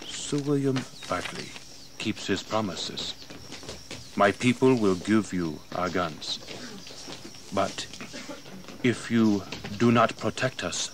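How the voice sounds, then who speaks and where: Slow, deep-voiced solemn chief. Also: male voice. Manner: slow, measured, deliberate. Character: grave, wary Appomattox chief, solemn and dignified. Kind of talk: historical audio drama acting. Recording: distant mic, noisy old recording.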